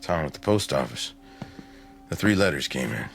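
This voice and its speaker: A man's voice: rumbling low, very animated, normal speed, vocal fry, loud music behind the voice, exaggerated voice. An actor playing a blunt, impatient middle-aged husband and foster father in a dramatic radio play. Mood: matter-of-fact. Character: gruff, frustrated, skeptical husband and foster father.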